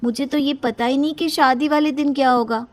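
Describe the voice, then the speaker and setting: A woman playing an anxious young bride in an animated Hindi story, plaintive and girlish. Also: sits high, a little fast, natural voice. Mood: worried.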